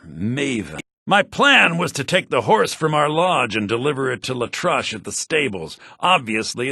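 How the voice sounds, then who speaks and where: A man's voice: mid-range voice, gravelly, bright, forceful tone, slightly rough, no echo, clean studio sound, heavily acted. An actor playing a sly, evasive rich man's son in jail in a fantasy video game, wry and slippery as he bargains.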